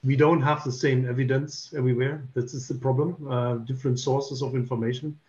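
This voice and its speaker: A man in his fifties, an English speaker, explaining carefully as a panel guest on a video call and pausing on many "uh" fillers. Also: medium pitch, steady tone, darkish tone. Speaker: panel guest, space safety expert. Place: home room, indoors. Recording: dull lo-fi call audio.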